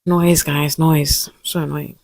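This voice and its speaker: A young woman with a lisp talks in a soft, hesitant, personal vlog style and often restarts her sentences. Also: low-pitched, flat delivery, slow pace, dry recording. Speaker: vlogger.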